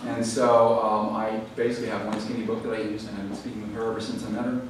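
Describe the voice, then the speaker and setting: Fast-talking man in an echoey hall. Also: lowish voice, level tone. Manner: thoughtful, explanatory, frequent fillers and restarts. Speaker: author speaking at a library event. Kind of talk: lecture Q&A, answering audience questions. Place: library event hall, recorded from the room. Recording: echoey hall, far from the mic, audible room noise.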